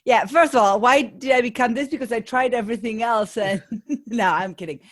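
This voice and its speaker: A woman's voice: a little high, brisk. A middle-aged woman with an Argentine accent, a business coach speaking as an interview guest, thoughtful and often restarting her sentences. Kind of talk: conversational interview.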